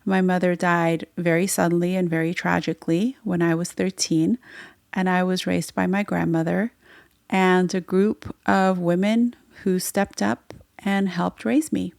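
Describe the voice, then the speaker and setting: A woman's voice: lowish voice, fairly even intonation, a little slow, creaky, a little mellow, no echo, studio quality. A woman whose first language is Farsi, hosting her own podcast and telling her story in an earnest, reflective way. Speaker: podcast host and midwife. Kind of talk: solo podcast monologue.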